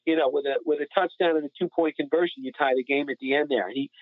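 A man's voice: a little high, fairly even intonation, fast, no echo. A man talking casually about sports as a podcast co-host, over a phone-like connection.

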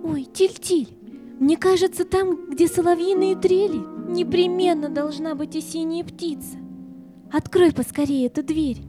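A woman's voice: very high voice, a little slow. A woman playing a timid, eager little girl in an audio play, childlike and pleading, with music behind her.

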